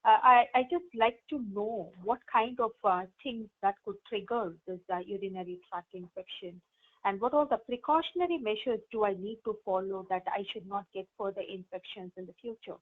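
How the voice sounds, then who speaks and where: A woman's voice: medium pitch, normal speed, close to an everyday voice. A woman role-playing an independent 88-year-old patient in an exam practice, speaking hesitantly with many fillers. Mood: curious. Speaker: role-play partner. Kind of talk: exam role-play over the phone. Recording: tinny phone line.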